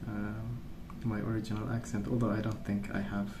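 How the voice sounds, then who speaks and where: A man speaking softly in a hushed, unhurried ASMR ramble, musing as he goes. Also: lowish voice, little pitch movement, reverberant, background noise. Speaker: ASMR video host. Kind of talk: soft-spoken ASMR rambling while colouring.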